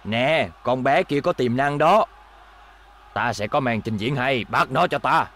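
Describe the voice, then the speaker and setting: A man's voice: medium pitch, unhurried, fairly bright tone, natural voice. A man with a Southern Vietnamese accent voicing a greedy, blustering middle-aged fight promoter in a dubbed cartoon. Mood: scheming.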